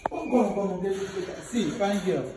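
A man's voice: very high voice, big pitch swings, normal speed, noisy background. A man playing a stern older brother who scolds his sister, then turns apologetic. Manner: scolding, mixes Nigerian Pidgin and English. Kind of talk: comedy skit acting. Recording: echoey room, distant mic, music.

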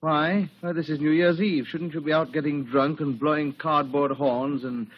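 A man's voice: a little high, fairly even intonation, moderate pace, slightly rough. An actor playing a cold, self-assured, supercilious wealthy young man in a 1940s radio drama, clipped and polite. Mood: cool, disdainful. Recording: dull old radio broadcast.

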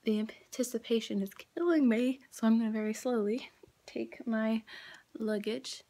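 A woman's voice: on the high side, moderate pace, dry recording. A young woman from Florida vlogging casually on a phone held at arm's length, chatty and rambling, sometimes dropping to a whisper. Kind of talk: casual travel vlog. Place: airports, plane and car, in and out of doors. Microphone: phone camera at arm's length. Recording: loud background noise on phone mic. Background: loud travel noise, vehicles.

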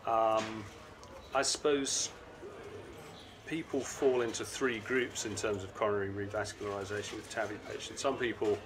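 A middle-aged man hosting a conference interview, asking brisk questions in a conversational way with an uneven pace. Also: lowish voice, steady tone, background noise. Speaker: interviewer and panel host. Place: seated at a conference booth indoors. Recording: constant conference hall ambience.

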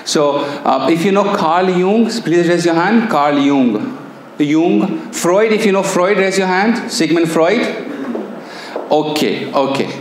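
A man's voice: a little high, takes it slowly. Echoey, chatty Pakistani-accented man. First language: Persian. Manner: chatty, engaging, asks audience questions. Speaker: NLP coach and trainer. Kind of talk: live seminar presentation. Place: seminar room with projector screen. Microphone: handheld microphone. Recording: echoey room, faint hum.

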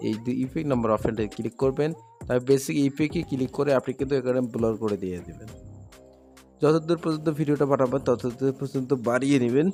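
A man hosts a screen tutorial over background music. He talks quickly at a medium pitch. His phrasing is instructional and repetitive as he goes step by step. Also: darkish tone. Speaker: tutorial host.

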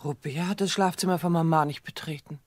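An actress playing a devoted daughter under suspicion in a radio drama, anxious and defensive, with pleading questions. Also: female voice, low-pitched, fast talker, no echo. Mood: anxious, wary. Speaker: doctor's suspected patient's daughter.

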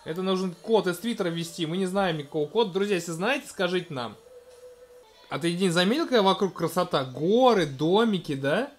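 A man in his thirties casually commenting on a video game in a gentle, coaxing way, talking to a small child beside him at home. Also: sits high, lively intonation, a little fast, faint music behind. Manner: gentle, coaxing, talks to the child, narrates what is on screen. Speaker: let's-play host playing with his toddler.

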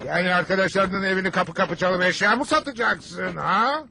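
A man's voice: sits high, moderate pace, gravelly, sharp tone, a little hiss or hum, exaggerated voice. A man playing a grumpy, bossy middle-aged father in a dubbed cartoon, blustering and sarcastic with theatrical exaggeration. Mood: mocking, irritated.

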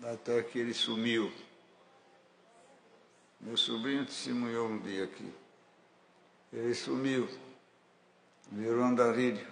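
A man's voice: medium pitch, steady tone, takes it slowly, vocal fry, pauses often, a little hiss or hum. A man with a Brazilian Portuguese accent preaching a fervent sermon in a church hall, building to emphatic declarations. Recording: echoey church hall with faint ambience.

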